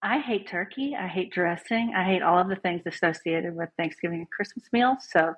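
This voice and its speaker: Husky-voiced woman in her forties. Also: on the low side, moderate pace, rough recording. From Southeast Texas. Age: 40s. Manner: chatty, upbeat storyteller. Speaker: guest band director. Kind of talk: casual podcast interview.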